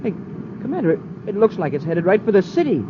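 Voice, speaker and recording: A boyish, earnest young sidekick in a 1950s radio space adventure. His voice sits high and moves with great animation. It comes through a very noisy old recording. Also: big pitch swings, fast, highs cut off. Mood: anxious, excited. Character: eager, excitable young sidekick to a space commander.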